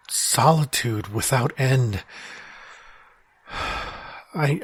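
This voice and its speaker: An actor playing a lonely man trapped inside his own mind, speaking in a halting, stammering way with frequent sighs. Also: a little high, slightly rough, no echo, heavily acted. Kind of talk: audio drama monologue.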